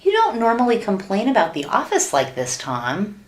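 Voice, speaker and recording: An actress playing a sweet, naive, adoring wife who asks guileless questions in a sitcom-style radio play. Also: female voice, mid-range voice, wildly swooping pitch, normal speed. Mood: innocent, reproachful. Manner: innocent, earnest, guileless questioning. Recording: noisy track with clicks.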